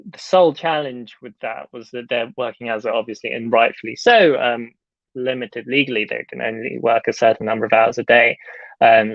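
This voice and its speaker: A man's voice: medium pitch, vocal fry, no room sound, muffled recording. A young man, a film director, answering interview questions in a casual, hesitant way, with lots of fillers and restarts, over a video call.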